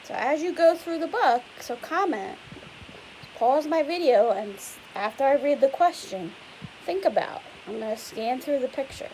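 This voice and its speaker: A young woman reads a picture book aloud in a lively, rising-and-falling voice that sits a bit high. She explains things in a chatty way and keeps asking the children questions. A faint hiss runs under the recording. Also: normal speed, poor audio. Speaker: teacher reading aloud. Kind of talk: read-aloud lesson for children.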